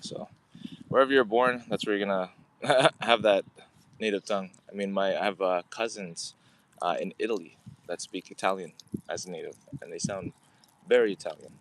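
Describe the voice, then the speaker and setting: A man's voice: low voice, moderate pace, rough recording. A man from Canada, chatting casually to viewers on a phone livestream while walking his dog outdoors, full of fillers. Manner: rambling, many fillers, chats with viewers. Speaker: livestream host. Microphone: phone camera held while walking. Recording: loud wind noise outdoors.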